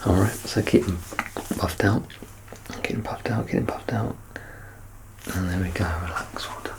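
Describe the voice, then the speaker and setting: A soft-spoken ASMR performer playing a clinician in an exam roleplay, gently reassuring and instructing in a hushed voice that often drops to a whisper. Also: deep voice, fairly even intonation, moderate pace, low-quality recording.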